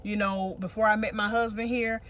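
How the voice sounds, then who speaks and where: A woman's voice: medium pitch, level tone, fairly quick, a bit bright. Emphatic American woman, noisy recording. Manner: emphatic, repeats phrases for emphasis. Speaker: relationship vlog co-host. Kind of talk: casual relationship advice chat.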